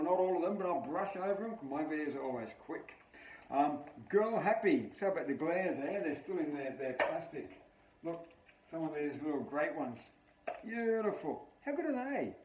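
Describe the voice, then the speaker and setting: A man's voice: on the high side, darkish tone. An Australian man in his fifties hosting a casual show-and-tell vlog, talking in an enthusiastic, breezy, rambling way. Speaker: video host, memorabilia collector. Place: small memorabilia room at home, indoors. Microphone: camera a few steps away. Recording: roomy, distant camera mic with faint hiss.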